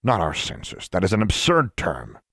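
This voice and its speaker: A man's voice: low voice, normal speed, breathy edge, dry recording, heavily acted. Man voicing a cool, pompous old wizard leader. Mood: condescending. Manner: calm, dry, condescending. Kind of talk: audiobook character voice.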